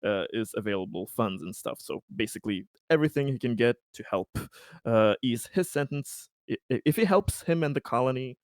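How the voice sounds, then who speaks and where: A man playing a tabletop roleplaying game, talking through his character's actions casually and haltingly. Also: medium pitch, expressive, dry recording. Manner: hesitant, halting, stumbling over words.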